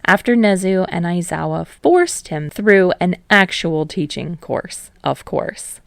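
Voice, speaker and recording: Slow, creaky-voiced woman narrating a story. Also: on the low side, expressive, no echo, studio quality. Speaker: podfic narrator and channel host.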